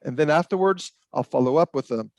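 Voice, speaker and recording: A man moderates an online panel and explains how the evening will run. His voice is very gravelly and the sound is dry and close. He speaks hesitantly, with pauses and restarts, on a video call. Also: a little high, a little mellow, no room sound. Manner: hesitant, many ums and restarts. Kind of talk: introducing a panel event.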